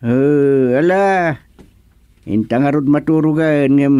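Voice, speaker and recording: Slow-talking, grumbling village husband. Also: male voice, fairly high pitch, mellow, smooth, no room sound. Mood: sleepy, grudging. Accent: Ilocano. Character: grumbling, sleepy village husband, a barangay captain. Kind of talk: radio comedy-drama acting.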